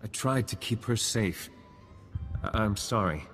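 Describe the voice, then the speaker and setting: A man's voice: lowish voice, unhurried, fairly bright tone. A man playing a meek, lovesick jilted gambler in a video game, hesitant, stammering and earnest. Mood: wounded. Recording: long reverb, music behind.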